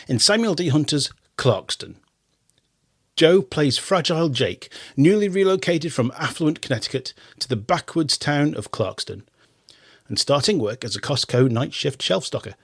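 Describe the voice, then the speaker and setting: Brief: man hosting a podcast, husky, animated intonation, close dry sound. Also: on the high side, no echo. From Isle of Man. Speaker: podcast host. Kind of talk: radio podcast presenting and chat.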